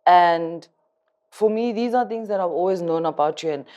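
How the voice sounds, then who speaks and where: A woman's voice: fairly low pitch, moderate pace, mellow. A woman talking earnestly and emphatically in a casual chat, often repeating words for stress.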